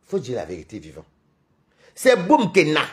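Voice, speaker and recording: A man's voice: fairly high pitch, moderate pace. A man from Cameroon with a Cameroonian French accent, chatting on a live stream in a rambling, gossipy way. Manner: rambling, animated, gossipy, laughs often. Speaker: livestream host. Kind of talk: live-stream chat with viewers.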